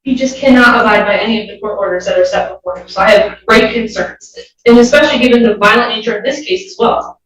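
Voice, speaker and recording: A woman's fairly high voice comes from far off in a very echoey courtroom. She argues as a prosecutor, formal, composed and matter-of-fact. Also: fast, fairly bright tone. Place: indoor courtroom. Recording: very echoey courtroom, distant mic.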